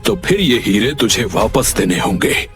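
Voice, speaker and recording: A man's voice: mid-range voice, big pitch swings, moderate pace, music in the background. A man voicing a greedy, bullying village landowner who schemes and commands menacingly in a cartoon moral story. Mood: angry, accusing. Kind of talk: animated moral story acting.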